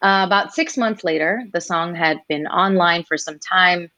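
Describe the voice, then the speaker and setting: A woman in her twenties shares her story as an interview guest, her voice sitting a little low. She's animated and slips into quoted voices for other people. The sound is dry and close, with no room around it. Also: moderate pace, no room sound. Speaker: singer guest.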